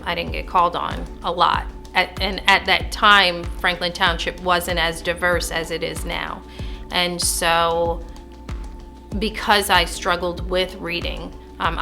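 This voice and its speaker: A woman teacher from New Jersey, speaking earnestly in a bright, clear tone. Her pitch stays low and level, with little rise or fall. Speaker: literacy teacher. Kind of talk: interview testimony.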